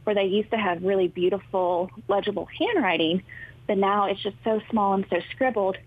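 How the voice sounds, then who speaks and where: A woman speech therapist explaining clearly and patiently to interviewers in a friendly, informative way. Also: mid-range voice, moderate pace. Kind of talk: explaining in a talk show interview. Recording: thin phone-line sound, dry.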